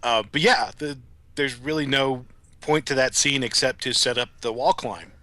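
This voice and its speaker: Male podcast co-host. Wry, casual, sharp-toned, dry sound. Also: mid-range voice, moderate pace, no echo. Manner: wry, joking. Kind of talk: casual humorous episode discussion.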